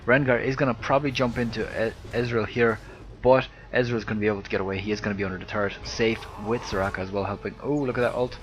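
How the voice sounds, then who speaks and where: A man with an Irish accent and a soft, slightly lispy voice, giving live video game commentary into a close headset mic. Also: lowish voice, steady tone, fast talker, poor audio. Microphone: headset close to the mouth.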